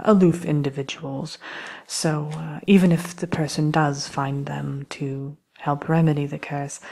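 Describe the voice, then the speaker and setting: A woman acts out a wicked, courtly queen for an ASMR roleplay, murmuring slyly and often dropping to a whisper. Her low voice is breathy and crackles with fry, and she picks her words slowly, with polite menace. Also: exaggerated voice. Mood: coy, vengeful. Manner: hushed, hesitant, politely wicked, slow deliberate phrasing. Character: sly, genteel evil queen selling cursed apples.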